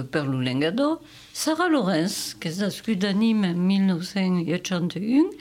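A woman's voice: mid-range voice, darkish tone. A woman co-presenting a radio talk show, taking her turn to list writers and their works, with hesitant fillers.

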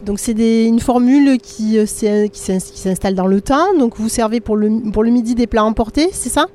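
A woman interviewing guests for local radio, asking questions in a hesitant, halting way. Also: on the high side, mellow, a little hiss or hum.

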